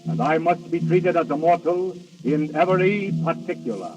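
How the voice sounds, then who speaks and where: A man's voice: on the high side, lightly acted. An actor playing Death as a grand, imperious male spirit, speaking formally and theatrically in an old radio drama. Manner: formal, theatrical, commanding, deliberate phrasing. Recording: dull, distant old radio recording with music.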